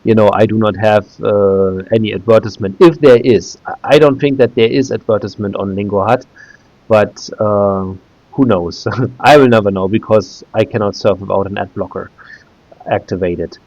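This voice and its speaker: Hesitant low-voiced German man. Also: moderate pace, a little mellow, no room sound, dull audio. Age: 30s. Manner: hesitant, many "uh" fillers and restarts. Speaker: video creator. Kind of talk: casual screen-recorded walkthrough.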